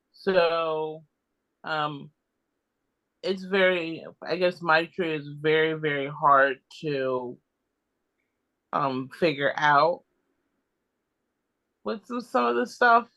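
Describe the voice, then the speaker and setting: A man talks casually as a participant in a group discussion. His voice is fairly high and very bright. He goes slowly and haltingly, thinking aloud as he speaks. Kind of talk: casual chat.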